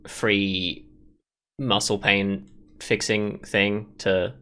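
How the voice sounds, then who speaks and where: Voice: deep voice, level tone, very slow, fairly bright tone, clean tone. A gaming video host giving casual let's play commentary, thinking aloud and piecing things together along the way.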